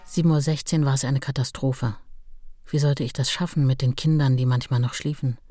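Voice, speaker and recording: A woman's voice: very deep voice, fairly even intonation, brisk, dark tone, no echo. An older woman reminiscing about her husband, telling it emphatically with quoted dialogue. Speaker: writer's widow recalling her husband. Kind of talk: spontaneous reminiscence.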